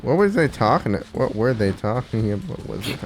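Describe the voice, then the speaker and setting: A man's voice: medium pitch, very animated, brisk, a little hiss or hum. A man giving casual let's-play commentary, muttering to himself as he plays. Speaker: gaming streamer.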